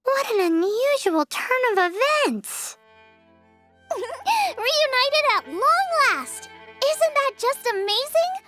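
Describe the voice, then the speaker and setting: A woman voicing an excitable, cheerful and bossy girl chef in an animated video game, perky and emphatic. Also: very high voice, takes it slowly, no echo, soft background music, heavily acted. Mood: excited, upbeat.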